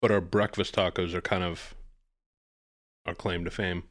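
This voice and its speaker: A fairly low-voiced man from Texas hosts a casual podcast conversation. He talks freely, throwing in wry asides as he goes. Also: level tone, a bit bright. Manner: wry, talkative, quick asides. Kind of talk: casual chat.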